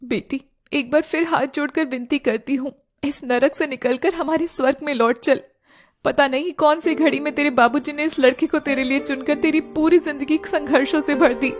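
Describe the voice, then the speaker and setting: A woman's voice: sits high, steady tone, moderate pace, lightly acted. A woman voicing a distraught older mother in an audio drama, emotional, protective and pleading.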